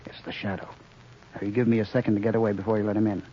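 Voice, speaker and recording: An actor playing a ruthless, gloating crime boss in a 1930s radio drama, commanding and contemptuous. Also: male voice, fairly low pitch, level tone, racing, lightly acted. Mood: curt, scheming. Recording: muffled, noisy 1930s radio recording.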